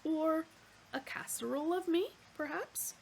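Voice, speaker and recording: A woman's voice: very high voice, measured pace, gravelly, darkish tone, slight background noise, voice pushed up, heavily acted. A woman voicing a polite, eager male beast that offers itself as a meal, in a courteous waiter-like manner. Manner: courteous waiter-like salesmanship, contented.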